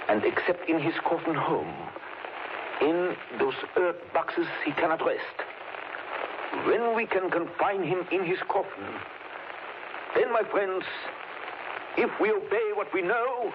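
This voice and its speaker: An actor playing a grave, commanding male professor who hunts vampires, speaking in deliberate, urgent oratory in a 1930s radio drama. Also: a little high, close to an everyday voice. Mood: solemn, rousing. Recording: dull, noisy old radio broadcast.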